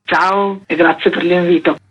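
A woman from a theatre company, talking through a program of events in a radio interview, detailed and steady with small fillers. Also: lowish voice, measured pace, slightly rough, muffled recording.

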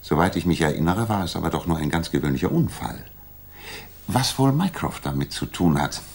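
An actor playing a restless, sharp-minded gentleman detective, theatrical and articulate, in a 1960s radio drama. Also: very deep voice, animated, a little fast, gravelly, slightly rough, heavily acted. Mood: explaining, dry.

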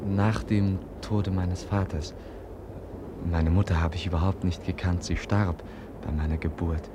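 An actor in a 1950s German radio play, playing a frail, candid young prince, polite and earnest, with hesitant pauses. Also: male voice, low voice, steady tone. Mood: pensive, hesitant. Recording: noisy 1950s radio recording.

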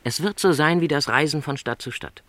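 An actor playing a lonely middle-aged travelling salesman in a radio play, a gentle, resigned dreamer with a reflective, apologetic way of talking. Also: on the high side, fairly quick, lightly acted. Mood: resigned, thoughtful. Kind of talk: literary radio play dialogue.

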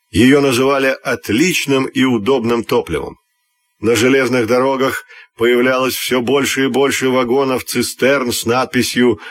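A man reading a scripted children's fairy tale as an expressive, measured storyteller. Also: mid-range voice.

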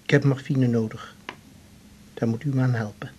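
Disgraced doctor, played by a man: very breathy and husky, pompous, halting, pleading, for radio drama. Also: mid-range voice, steady tone, darkish tone, lightly acted. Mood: solemn, wheedling. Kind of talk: naturalistic Dutch radio drama acting.

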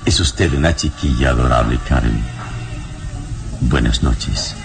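A male actor playing a serene, gallant adventure hero in a Spanish-language radio drama, speaking with calm, courteous solemnity. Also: extremely low pitch, a little slow, gravelly, over music, full character voice. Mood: gentle, gallant.

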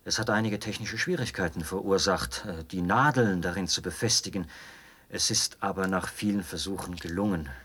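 An actor in a German radio play as a zealous, meticulous officer who explains a machine with pedantic pride. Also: male voice, deep voice, normal speed, a bit bright, husky. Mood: pedantic, proud.

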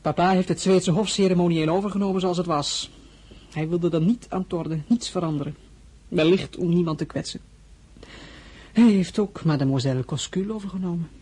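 An actor playing a young crown prince in a radio play, formal and earnest, sometimes getting heated. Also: male voice, sits high, a little fast, darkish tone, husky.

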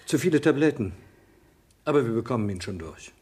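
A male actor plays a practical, unruffled village doctor in a radio mystery. His pitch moves freely within a matter-of-fact delivery. Heavy noise lies behind the voice. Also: lively intonation, brisk, lightly acted. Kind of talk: German radio crime drama acting.

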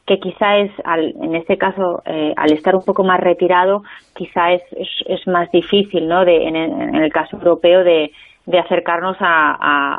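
A woman's voice: fairly low pitch, steady tone, normal speed. A woman with a Spanish accent, a guest author in a phone interview, talking with many hesitations and restarts. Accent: Spanish (Spain). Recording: tinny phone line.

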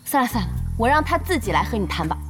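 A young woman acting in a Mandarin audio drama as a blunt, quick-tempered team boss with a brisk manner. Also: on the high side, sing-song, faint music behind. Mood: defensive.

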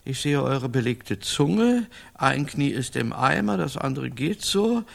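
A blunt medieval doctor from a radio play. He sounds clinical and deliberate, with a creaky, rough voice that moves freely up and down in pitch. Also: big pitch swings, full character voice. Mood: clinical, matter-of-fact. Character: brisk medieval doctor, bluntly cataloguing injuries. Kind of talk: German radio drama acting.

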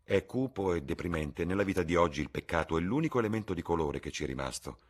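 A man voicing a witty, languid aristocratic gentleman in an audiobook, airy and mocking, with a husky voice. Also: low-pitched, expressive, quick pace. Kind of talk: audiobook character voice.

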